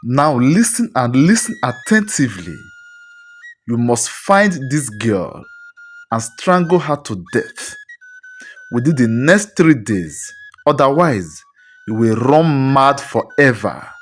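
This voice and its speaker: A woman's voice: a bass voice, sing-song, soft background music, voice pushed down, full character voice. A woman voicing a grim, commanding old male shrine priest who pronounces doom in a storybook tale. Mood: grim, menacing. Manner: slow, commanding, ominous. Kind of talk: storybook character voice.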